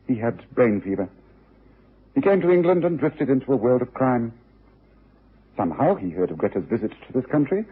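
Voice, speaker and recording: An actor playing a confident, analytical Victorian detective in a radio drama, speaking with precise authority. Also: male voice, mid-range voice, slightly rough. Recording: muffled phone-like old radio recording.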